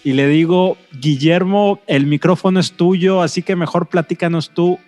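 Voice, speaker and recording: A man's voice: sits high, steady tone, normal speed, darkish tone, faint music behind. A man hosting an interview podcast, building his points step by step in a rhetorical way. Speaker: podcast host.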